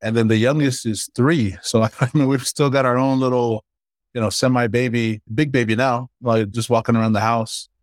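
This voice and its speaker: A man's voice: medium pitch, fairly even intonation, normal speed, no echo. Easygoing grandfather from Hawaii, dry close sound. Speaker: startup founder and guest. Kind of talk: casual interview talk.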